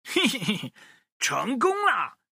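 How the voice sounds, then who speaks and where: A man acts out a scheming, greedy woodcutter from a children's storybook. He is sly and exclamatory like a cartoon crook, with a bright, forceful tone and very animated pitch. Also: takes it slowly, creaky, husky, dry recording, clean studio sound, heavily acted. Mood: gloating. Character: greedy, scheming bald male woodcutter villain.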